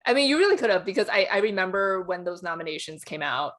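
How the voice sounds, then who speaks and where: A woman's voice: on the low side, expressive, fast talker, fairly bright tone. A woman co-hosting a casual entertainment discussion, talking informally with lots of conversational "like". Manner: informal, peppers speech with "like". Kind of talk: casual film awards chat.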